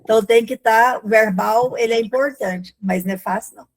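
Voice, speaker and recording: A woman chats candidly as a student in class. Her voice sits somewhat high. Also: fairly quick, low-quality recording. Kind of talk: casual class discussion.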